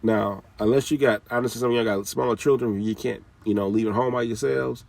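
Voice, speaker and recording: A man's voice: mid-range voice, steady tone, fairly quick, vocal fry, a little mellow, far from the mic. A man giving an informal, scolding talk with casual slang, often repeating his phrases.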